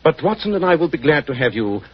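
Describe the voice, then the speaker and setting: A man playing a keen, composed gentleman detective with a British accent, speaking precisely and formally in 1940s radio style. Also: on the high side, very animated, fast talker, a little hiss or hum. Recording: dull, muffled 1940s radio recording.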